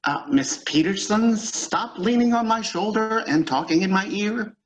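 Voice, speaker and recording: An actor plays a put-upon male office boss in old-time radio style. His high-pitched voice is fussy, sarcastic and dry. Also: a little slow, rough recording. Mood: exasperated.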